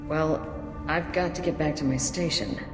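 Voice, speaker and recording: A woman's voice: low voice, steady tone, normal speed, lightly acted. A woman acting as a dutiful, reluctant alien police detective in a video game, plain-spoken and official. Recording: echoey hall, music underneath.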